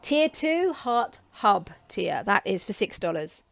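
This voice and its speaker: Chatty hoarse woman in her forties. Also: mid-range voice, sing-song, takes it slowly, no echo. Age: 40s. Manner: chatty, enthusiastic, with frequent ums. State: voice getting hoarse. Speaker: creative coach and vlogger. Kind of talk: casual talk to camera.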